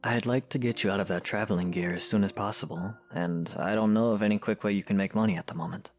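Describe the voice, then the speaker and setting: A man's voice: lowish voice, fairly even intonation, fast talker, lightly acted. A man playing a kind, easygoing young adventurer with a casual, reassuring manner in a fan audio drama. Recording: music under the voice.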